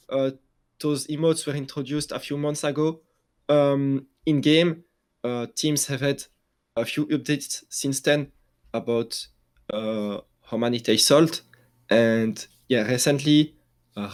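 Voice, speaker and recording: A man with a French accent discusses esports news in a relaxed podcast chat. He talks at an ordinary middle pitch and pauses often, restarting phrases and filling gaps with "uh". The tone stays loose and conversational. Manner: halting, many restarts and fillers. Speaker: esports journalist.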